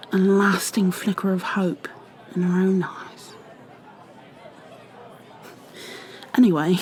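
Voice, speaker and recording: A woman playing a kindly, chatty tavern keeper in an ASMR roleplay, rambling gently with fillers and slipping into whispers. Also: medium pitch, lightly acted. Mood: reassuring.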